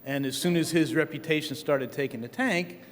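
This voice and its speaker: A man's voice: a little high, normal speed, in a large hall, a little hiss or hum. A man on a discussion panel who speaks bluntly and skeptically, with plenty of hesitant fillers. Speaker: panelist, delivery business operator. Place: event hall.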